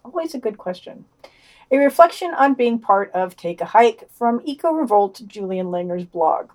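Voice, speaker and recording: A woman's voice: a little high, lively intonation, moderate pace, a little mellow. A woman hosting a podcast, reading a scripted news digest and quoted passages aloud with wry asides. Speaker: podcast host.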